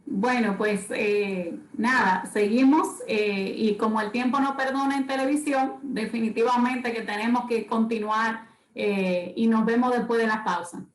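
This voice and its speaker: A Dominican woman on a television panel, speaking off the cuff and haltingly as she searches for words. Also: on the high side, steady tone. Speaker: panelist and host. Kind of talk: unscripted TV panel discussion. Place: TV studio. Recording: echoey TV studio.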